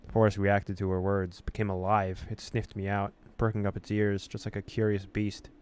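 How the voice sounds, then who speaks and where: Low-voiced man reading a game aloud. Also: little pitch movement, moderate pace, a little mellow, smooth. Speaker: gaming video host. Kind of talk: let's-play, reading story text aloud with asides.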